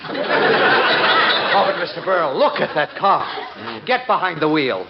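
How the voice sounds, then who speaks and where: Gushing, high-pitched car salesman. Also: very animated, takes it slowly, gravelly, full character voice. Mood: pleading. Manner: effusive, wheedling, exaggerated. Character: gushing, desperately eager car salesman, fawning and pleading. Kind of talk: 1940s radio comedy acting. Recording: noisy, muffled 1940s radio recording.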